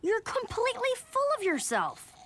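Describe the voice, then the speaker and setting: A woman playing a sincere, frank teenage girl heroine in an animated cartoon. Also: very high voice, moderate pace, full character voice. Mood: annoyed, scolding.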